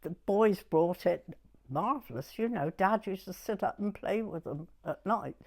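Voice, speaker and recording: A woman in her nineties with a southern English accent, reminiscing in an unhurried, halting way in short broken phrases. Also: mid-range voice, expressive, darkish tone. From southern England. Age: 90s. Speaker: oral history interviewee. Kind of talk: personal reminiscence in conversation.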